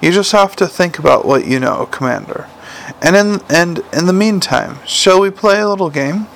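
A man reading aloud, voicing a clever, cultured male troll king who speaks quietly with a teacherly firmness. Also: high voice, lively intonation, vocal fry, slight background noise, full character voice. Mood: encouraging. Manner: measured, softly commanding, teacherly.

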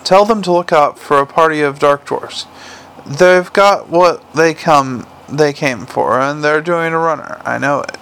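Male reader as world-weary cop boss: clipped, dry, gravelly. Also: a little high, measured pace, a bit bright, a little hiss or hum, poor audio. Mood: brusque. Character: weary, blunt male city watch commander.

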